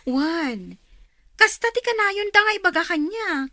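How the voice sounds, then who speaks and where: An actress playing a proud, affectionate older sister in a radio drama, effusive, with a northern Philippine accent. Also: female voice, very high voice, lively intonation, a little slow, pushed and bright, no echo, clean studio sound, heavily acted. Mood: proud, delighted. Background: music.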